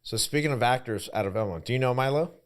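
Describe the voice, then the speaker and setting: A man co-hosting a casual interview who asks questions in a relaxed, slangy, joking way. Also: mid-range voice, quick pace. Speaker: podcast co-host.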